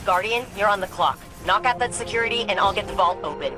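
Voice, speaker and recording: A woman's voice: mid-range voice, animated, fast talker, over music, lightly acted. An actress playing a confident, wisecracking female tech expert in a video game, talking casually and quippily. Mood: focused, wry. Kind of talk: scripted game dialogue.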